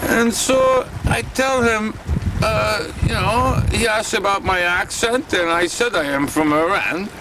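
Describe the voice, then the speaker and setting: A deadpan immigrant storyteller, done by a man speaks slowly in a fairly high voice. He halts often and fills the gaps with "uh" and "um". Clear boat noise sits behind him. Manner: halting, filler-heavy deadpan anecdote. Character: immigrant man recounting a run-in with police. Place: aboard a boat.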